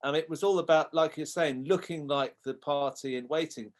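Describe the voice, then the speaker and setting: A man hosts a casual political interview, speaking in a conversational and somewhat halting way. His voice sits a little higher than most men's. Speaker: show host.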